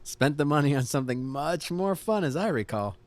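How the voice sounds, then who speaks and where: A man's voice: a little high, expressive, fairly quick, no room sound, natural voice. Man playing an easygoing underground crew member. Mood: teasing. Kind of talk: audio drama acting.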